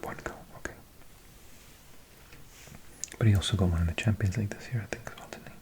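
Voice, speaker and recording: A Welsh man in his forties who talks in a soft whisper with almost no voice behind it. His tone sits very low, and he works slowly through a list of scores as an ASMR host, stopping now and then for small offhand remarks. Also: steady tone, rough recording. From Wales. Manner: soft unvoiced whisper, slow list reading with chatty asides. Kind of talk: whispered ASMR ramble reading football results.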